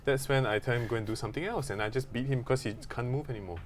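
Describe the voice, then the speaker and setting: A man co-hosting a gaming podcast, chatting casually in a jokey, animated storytelling way. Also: medium pitch, rapid-fire, a little hiss or hum. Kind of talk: casual chat about video games.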